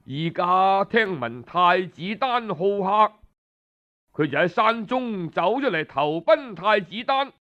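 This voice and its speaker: Male Cantonese storyteller for historical audiobook; high voice, unhurried delivery, conversational oral style. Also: animated, highs cut off. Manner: conversational oral telling with frequent sentence-ending particles. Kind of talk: traditional Cantonese storytelling narration of historical tales.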